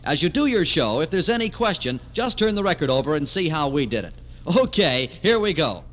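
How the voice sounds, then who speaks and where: A man's lively, slightly high announcer voice with a long reverb tail. He reads scripted, radio-style instructions on an archival record. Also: a little fast, soft background music.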